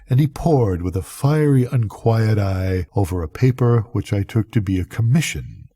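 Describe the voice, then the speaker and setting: An older man narrates a classic story in a fairly low, husky and very mellow voice. His delivery is measured and literary, with an expressive, theatrical sense of drama, like a grandfather reading at bedtime.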